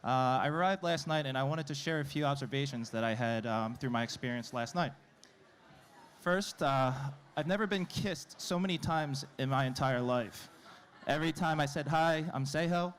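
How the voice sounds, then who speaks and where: Conversational man presenting, medium-pitched voice. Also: normal speed. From New York, United States. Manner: conversational, anecdotal. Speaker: conference presenter, programmatic director. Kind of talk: live conference presentation. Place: conference stage before an audience.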